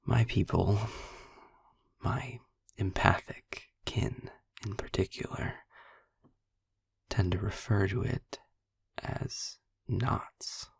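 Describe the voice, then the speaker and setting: Sarcastic male demon for intimate audio roleplay, played by a man: low, slow, darkly amused, deliberate storyteller. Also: dry recording, heavily acted. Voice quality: low, breathy, gravelly near-whisper. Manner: sarcastic, wordy, deliberate storytelling with dramatic pauses. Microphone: close microphone in a dry, quiet space.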